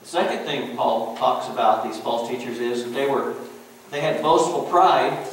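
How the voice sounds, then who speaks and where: Folksy preacher in an echoey church. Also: male voice, medium pitch, moderate pace. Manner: folksy, conversational preaching with chuckles and "you know". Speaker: pastor. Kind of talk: sermon. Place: church sanctuary. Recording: very echoey church room, distant mic, faint hiss.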